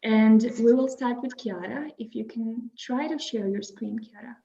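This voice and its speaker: A woman's voice: a little high, unhurried, creaky, mellow. A young woman from Croatia moderating an online webinar, friendly and hesitant with many fillers. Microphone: video call. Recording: muffled video call audio.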